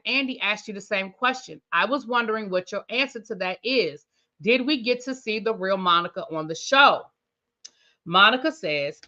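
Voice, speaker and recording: A woman host reads a magazine interview aloud on her review stream, stopping often for loose, chatty asides to her viewers. Her voice is bright and forward. The sound is a little dull and lo-fi. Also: on the high side. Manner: casual, chatty asides between read-out passages. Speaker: reality TV reviewer, channel host. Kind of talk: livestream review, reading an article aloud.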